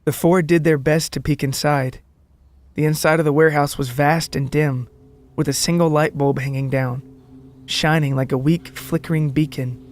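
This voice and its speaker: A man's voice: a little high, fairly even intonation, moderate pace. A man narrating a scripted podcast story in a dramatic, tongue-in-cheek way. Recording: music behind the voice. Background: background music.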